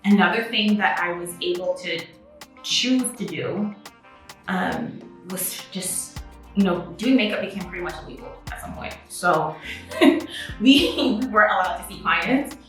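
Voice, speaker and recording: A young woman whose first language is French talks to her viewers in a chatty, upbeat way as a makeup artist. Her voice is bright and forward. She sits back from the mic in a very echoey room, with soft music under her. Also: medium pitch, unhurried, distant mic. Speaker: beauty vlogger and makeup artist. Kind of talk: casual to-camera vlog. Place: indoor home studio with a makeup setup.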